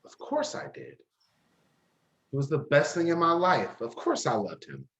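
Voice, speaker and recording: Man playing a bitter, sarcastic young man, animated pitch. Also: measured pace, gravelly, heavily acted. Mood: hurt. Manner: sarcastic, confrontational. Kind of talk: dramatic dialogue acting.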